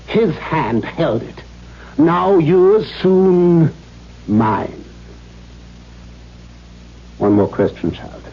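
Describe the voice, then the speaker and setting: An actor playing a cruel, domineering middle-aged father in a 1970s radio drama, mock-gentle and formal as he menaces his daughter. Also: fairly high pitch, husky, heavily acted. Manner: mock-gentle, formal phrasing, erupting into demands. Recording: dull, noisy 1970s radio recording.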